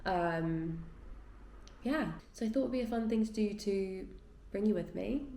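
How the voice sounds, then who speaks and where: A woman's voice: mid-range voice, unhurried, warm tone, roomy sound, a little hiss or hum. A woman chatting casually to camera at home, rambling and a bit tired and stuffy. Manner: chatty and rambling, lots of "like" and "yeah". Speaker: vlogger. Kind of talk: casual chatty vlog talk. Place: at home in her flat.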